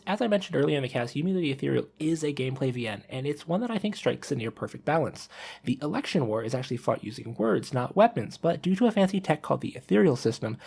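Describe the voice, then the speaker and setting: A man's voice: medium pitch, gravelly, darkish tone, breathy edge. A man co-hosting a podcast, talking casually and giving a prepared review with playful asides. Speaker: podcast co-host.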